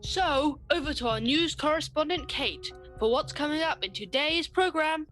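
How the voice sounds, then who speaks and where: Actress as poised female history-show co-host, high voice, mock-dramatic scripted comedy delivery, music underneath. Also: expressive, normal speed, fairly bright tone. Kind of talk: scripted comedy radio presenting.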